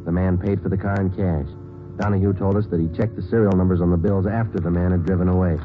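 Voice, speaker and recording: A man voicing a terse police sergeant, deep and low, narrating in clipped, matter-of-fact sentences at a brisk clip. The sound is tinny, like an old radio broadcast with music under it. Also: fairly even intonation, natural voice. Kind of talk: 1950s radio drama narration.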